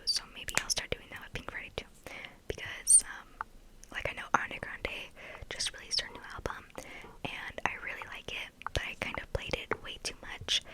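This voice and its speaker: A young woman from Texas talks in a steady, airy whisper, as in an ASMR video. Her chat rambles casually and is peppered with "like" and "you know what I mean.". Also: low-quality recording. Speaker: ASMR creator.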